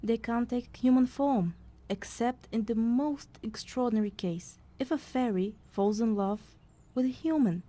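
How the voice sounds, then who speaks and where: A woman playing a brisk storyteller, narrating a mock fantasy tale in a scripted audio play. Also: fairly high pitch, warm tone.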